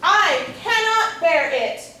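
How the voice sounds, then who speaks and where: A woman's voice: very high-pitched, lively intonation, slow, bright, forceful tone, full character voice. A woman playing a frightened, faint-hearted young upper-class lady, fluttery and melodramatic, in a staged reading of a period murder mystery. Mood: panicking. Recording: very echoey, distant mic, faint noise.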